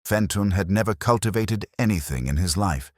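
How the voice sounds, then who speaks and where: A man reading scripted first-person narration of a detective mystery in a measured, brooding, literary manner. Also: on the low side, expressive, dry recording, clean studio sound. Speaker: audio drama narrator.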